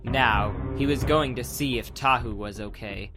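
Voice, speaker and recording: A man's voice: mid-range voice, a little slow, over music. A man narrating a dramatized adventure audiobook from a script as the storyteller.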